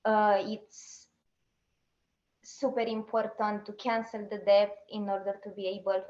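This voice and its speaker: A woman from Romania giving an online talk as an activist, pausing and restarting her sentences often. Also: medium pitch, flat delivery, a little mellow, reverberant, highs cut off. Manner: halting, with restarts and fillers. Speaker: activist speaker.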